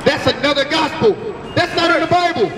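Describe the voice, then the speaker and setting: Man, high-pitched street preacher, call-and-response questions, city crowd behind, distant echoing sound. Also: fairly quick, background noise. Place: city sidewalk outdoors. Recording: echoey outdoor street sound with crowd, from far off.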